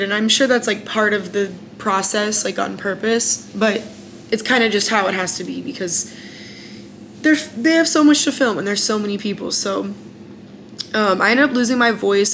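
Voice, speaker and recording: Young woman, American accent, mid-pitched, chatty and candid, casual vlog, faint hiss. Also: a bit bright. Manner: candid and chatty, many "like" fillers, frank opinions. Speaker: former singing contestant recounting her experience.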